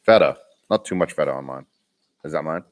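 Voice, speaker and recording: A man's voice: extremely low pitch, animated, fairly quick. A young man with a Boston accent chatting casually on a live stream, reading comments aloud, laughing often and restarting his sentences. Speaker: vlogger hosting a live Q&A.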